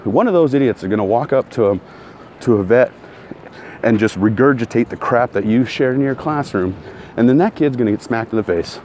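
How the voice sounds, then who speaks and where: A man's voice: medium pitch, animated, a little fast, a little mellow, highs cut off, a little hiss or hum. A man ranting in a casual monologue, blunt and heated, repeating himself, his throat still recovering from soreness. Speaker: vlogger.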